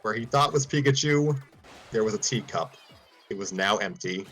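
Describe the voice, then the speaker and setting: A man's voice: fairly low pitch, expressive, moderate pace, a bit bright, faint music behind. A man reading a fan story aloud, often stumbling and restarting lines.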